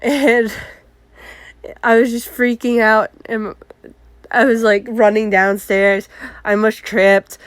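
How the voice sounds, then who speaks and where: A congested woman telling casual, animated stories about her art mishaps as a vlogger. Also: on the high side, slow pace, pauses often, smooth, no room sound. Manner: chatty, animated, acts out her own exclamations. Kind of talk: casual story time.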